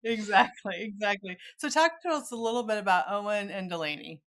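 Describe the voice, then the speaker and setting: A woman's voice: mid-range voice, fairly quick, fairly bright tone. A woman podcast host chatting casually and agreeably with a guest, her phrasing loose and conversational. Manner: agreeable, conversational, uses "like" often. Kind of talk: casual interview chat.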